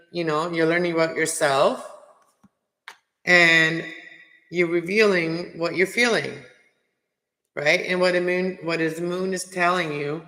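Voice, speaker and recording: Guy reading tarot with a scratchy sore throat, kinda high voice, talks you through it nice and reassuring. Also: sharp tone. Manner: chatty, reassuring, many filler phrases. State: raspy, throat strained. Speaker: tarot reader. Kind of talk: casual livestream tarot reading. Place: home, cold room. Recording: echoey room with long reverb.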